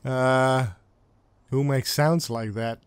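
A man's voice: medium pitch, fairly even intonation, slow, clean tone. A man doing casual let's play commentary, reacting and reading game dialogue aloud.

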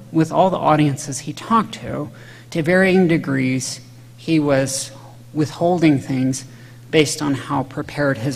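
Gravelly man lecturing in a hall. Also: on the high side, darkish tone. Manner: earnest, explanatory, with self-corrections. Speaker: conference speaker. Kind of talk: conference lecture on church history. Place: large conference hall, at a lectern. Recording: long hall reverb.

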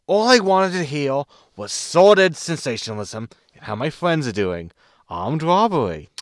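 A man's voice: fairly high pitch, big pitch swings, moderate pace, no echo, clean studio sound. A man playing a wry, exasperated spaceship captain in a sci-fi comedy audio drama, sarcastic and grumbling as he gives orders.

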